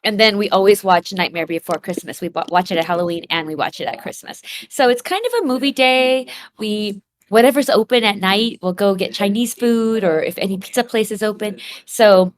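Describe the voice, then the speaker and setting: A woman's voice: medium pitch, fairly quick, hardly any pauses. A middle-aged woman with an American accent co-hosting a casual chat in an appreciative, informal way. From Los Angeles, USA. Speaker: town hall co-host.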